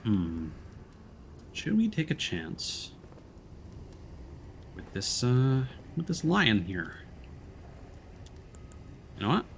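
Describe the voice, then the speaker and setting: Lively male game streamer, swooping pitch. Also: drawn-out words, long pauses, slight background noise. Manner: friendly, addresses chat viewers by name. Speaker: video game livestreamer. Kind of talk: casual chatty stream talk while playing.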